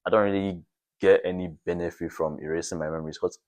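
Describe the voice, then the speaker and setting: Young man, low voice, casual podcast guest, hesitant, self-effacing. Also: steady tone, fast, a little mellow. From Nigeria. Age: young adult. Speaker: podcast guest, university student. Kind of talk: casual chat.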